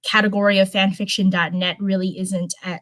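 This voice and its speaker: A woman's voice: mid-range voice, little pitch movement, a little fast, fairly bright tone. A woman hosting a live stream in an informal, chatty way, talking to viewers and reading fan fiction aloud. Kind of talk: casual livestream chat and live story reading.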